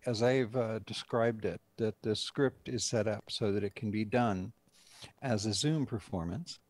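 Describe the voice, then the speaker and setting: A man's voice: lowish voice, a little mellow, dry recording. An older man, a director, giving rambling conversational answers as a guest in a video-call interview. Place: indoor room at home.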